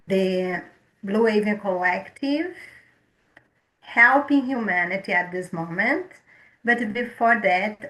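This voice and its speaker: Slow, bright-voiced woman host. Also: medium pitch, reverberant, poor audio. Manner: gentle, unhurried, occasional stumbles. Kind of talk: slow spiritual show hosting.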